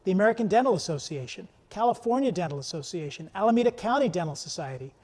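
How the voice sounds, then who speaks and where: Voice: medium pitch, animated, quick pace, a little mellow, in a large hall. An interview host leading a promotional chat, repeating words and reading out contact details.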